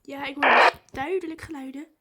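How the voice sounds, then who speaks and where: A woman. She talks like a vlogger, in casual, excited chat aimed at her viewers. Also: high-pitched, fairly quick, very noisy.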